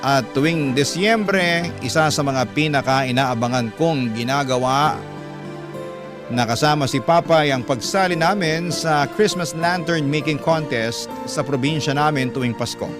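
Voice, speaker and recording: A man's voice: a little high, measured pace, music in the background, natural voice. A man narrating a wistful first-person life story for a radio drama. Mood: reflective.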